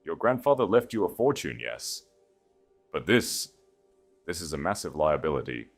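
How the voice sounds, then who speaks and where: Elderly family banker for audiobook, male narrator acting: low-voiced, grave, formal, emphatic in caution. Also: very animated, faint music behind, exaggerated voice. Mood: grave warning. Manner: formal, measured, emphatic warnings. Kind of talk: dramatised audiobook story.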